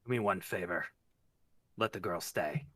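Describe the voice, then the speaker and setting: A man playing a gruff, blunt, angry mutant hero in a script read aloud. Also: low-pitched, moderate pace, a bit bright. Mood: stern pleading. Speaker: table read participant. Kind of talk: reading a film script aloud.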